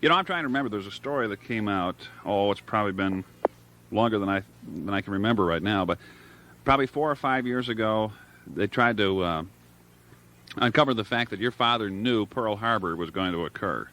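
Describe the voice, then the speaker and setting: A man's voice: on the low side, a little fast, a bit bright. A man hosting a live call-in radio show, talking in a rambling way and searching for words as he goes. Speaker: radio talk show host.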